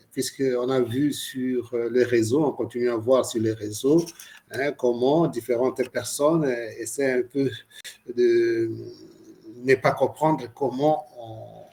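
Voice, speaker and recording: Male priest explaining in halting conversation. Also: mid-range voice, darkish tone. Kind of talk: conversational explanation to online viewers.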